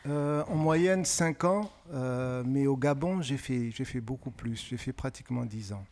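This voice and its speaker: Measured middle-aged man, thoughtful pauses. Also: mid-range voice, a little mellow. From francophone West and Central Africa. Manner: measured, thoughtful, pausing often with hesitations. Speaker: guest author. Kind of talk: conversational interview answers.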